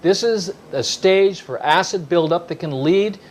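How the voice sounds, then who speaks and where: Higher-voiced man, emphatic health explainer. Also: a little slow, slight background noise. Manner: emphatic, asks and answers own questions. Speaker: health speaker.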